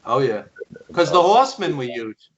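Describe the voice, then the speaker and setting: A man's voice: mid-range voice, very animated, moderate pace, dull audio. An older man co-hosting a casual interview show, earnest and emphatic. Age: 50s or older. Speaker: show co-host.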